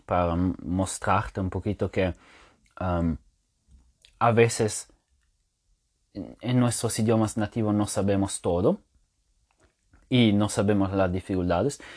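A man whose first language is French talks through a language point in a low voice. He explains patiently and thinks aloud, often pausing on filler sounds and restarting words. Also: darkish tone. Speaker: language teacher podcaster. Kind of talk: casual spoken lesson to listeners.

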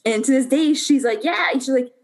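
A woman's voice: high-pitched, moderate pace, a little mellow. A young adult woman from Puerto Rico, a podcast guest telling her life story in a casual, chatty way with lots of fillers and restarts.

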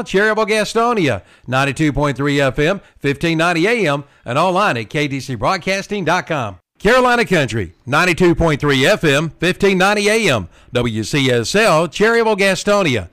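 A man's voice: on the high side, fairly bright tone. A man with an American accent reading scripted local radio promos and ads as an announcer.

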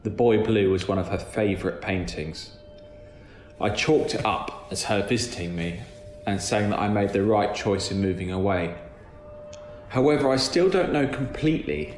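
Lower-voiced man narrating in a roomy space. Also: animated, moderate pace, faint music behind. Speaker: reader of listener stories. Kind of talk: scripted first-person story narration. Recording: roomy echo, faint background noise.

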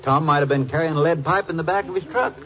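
A man playing a folksy, gentle small-town Texas sheriff in an old radio drama, plain-spoken in manner. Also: a little high, quick pace, natural voice. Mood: puzzled, grim. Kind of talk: 1940s-50s radio drama acting. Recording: muffled, noisy old-time radio recording.

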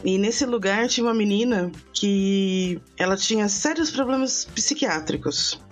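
Woman, 30s, podcast host, rambling storyteller, mid pitch, faint background music. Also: unhurried. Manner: rambling, animated storyteller with many restarts. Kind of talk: casual storytelling chat.

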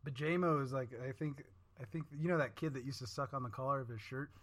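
A woman's voice: extremely low pitch, quick pace. A young woman co-hosting a podcast, joking crudely and swearing a lot while laughing. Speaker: podcast co-host. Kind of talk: casual chat.

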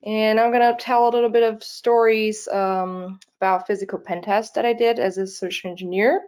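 A woman's voice: medium pitch, fairly even intonation, moderate pace, darkish tone, clear, resonant tone. A young woman from Brazil with a Brazilian Portuguese accent, giving a conference talk in a nervous way, with many fillers and restarts and frequent laughs. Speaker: conference speaker.

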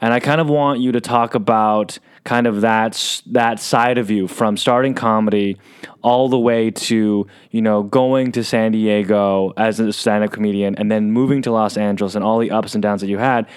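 A man's voice: on the low side, fairly even intonation, moderate pace, smooth. A man hosting a casual interview, asking loose, rambling questions with an encouraging, enthusiastic manner.

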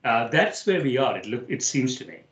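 A man from India hosting an interview in a casual, conversational way, reflective and halting as he talks. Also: medium pitch, very animated, a bit bright, echoey room. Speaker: interview host.

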